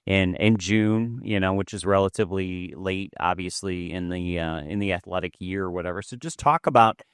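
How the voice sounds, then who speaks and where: A man's voice: sits low, normal speed, clean tone, dry recording, clean studio sound. A man hosting a podcast, asking long rambling questions full of filler words. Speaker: podcast host. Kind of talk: casual interview chat.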